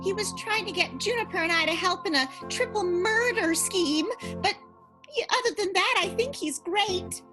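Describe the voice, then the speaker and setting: A woman's voice: very high voice, normal speed, over music. A woman playing a naive, chipper young vaudeville darling, bubbly and guileless, in an improvised period radio soap. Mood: earnest, chipper.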